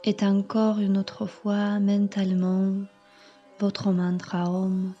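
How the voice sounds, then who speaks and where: A woman yoga teacher calmly leading a guided meditation in measured, instructing phrases. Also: mid-range voice, highs cut off, faint music behind. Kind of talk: slow guided meditation narration.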